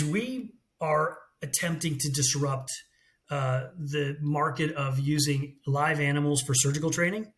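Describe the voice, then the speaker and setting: A man's voice: fairly high pitch, a bit bright. A middle-aged American man, a company CEO, explaining his work fluently in a business interview. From United States. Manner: fluent, explanatory, with some restarts.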